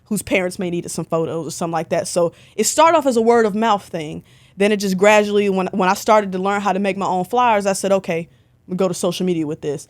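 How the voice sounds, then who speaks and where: Young woman guest, chatty storyteller, mid-pitch voice, filler-heavy casual delivery. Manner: chatty, animated storytelling with lots of "like". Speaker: podcast guest, concert photographer. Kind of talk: casual interview chat.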